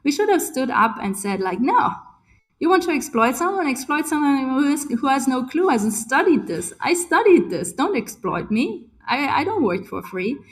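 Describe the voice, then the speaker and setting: A woman's voice: high voice, very animated, normal speed. A woman in her forties speaking on a video call in casual chat, passionate and emphatic, often tagging "right?" onto her points. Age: 40s. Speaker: guest speaker on a community call.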